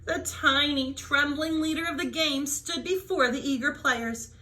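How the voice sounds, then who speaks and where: A woman's voice: high-pitched, a little slow, pushed and bright. A woman storyteller reading a children's book aloud with dramatic emphasis, stretching out key words.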